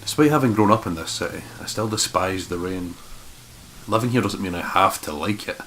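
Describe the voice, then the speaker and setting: Low-voiced young man reading theatrically, jokey. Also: fairly quick, a bit bright, slight background noise, low-quality recording. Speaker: co-host reading the narration. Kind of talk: let's play, reading game text aloud with joking asides.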